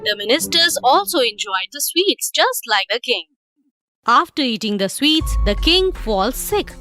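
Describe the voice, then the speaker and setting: A woman narrating a scripted animated story for children. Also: high-pitched, a little slow, soft background music.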